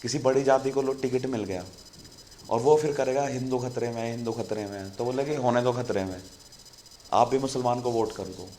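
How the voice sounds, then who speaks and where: Male channel host, casual explainer, mid-pitched, conversational, light background noise. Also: moderate pace, dark tone. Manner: conversational, keeps saying "friend" to viewers.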